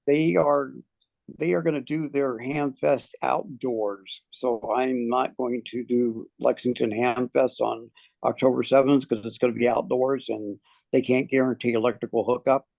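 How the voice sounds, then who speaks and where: A man giving a casual update on an online club call, in halting, broken phrases. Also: mid-range voice, fairly even intonation, vocal fry, a little mellow, dry recording, rough recording. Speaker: club presenter.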